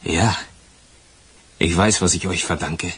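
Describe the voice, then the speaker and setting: Casting: lovesick convict on the run, radio drama; rough husky low voice, hesitant, sincere. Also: male voice, steady tone, fairly bright tone, full character voice. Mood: tender, confiding. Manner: hesitant, halting, earnest. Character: uneasy freed convict, grateful and lovesick. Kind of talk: German radio crime drama acting.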